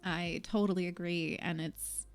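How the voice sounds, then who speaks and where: A woman's voice: on the low side, steady tone, measured pace, soft background music. A young adult woman hosting a casual livestream chat, talking in a loose, filler-heavy way and laughing easily.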